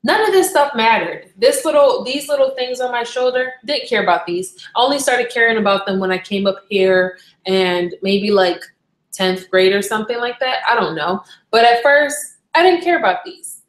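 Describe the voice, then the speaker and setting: A woman's voice: fairly high pitch, animated, moderate pace. A young woman from Barbados chatting casually on a live stream, candid and rambling, often correcting herself mid-sentence. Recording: echoey room.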